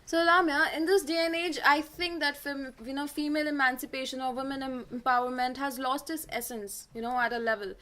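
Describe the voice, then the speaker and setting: A woman's voice: sits high, fairly even intonation, a little fast, few pauses, sharp tone. A young woman from India with an Indian English accent, hosting a student talk show with scripted introductions and questions peppered with "you know". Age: young adult.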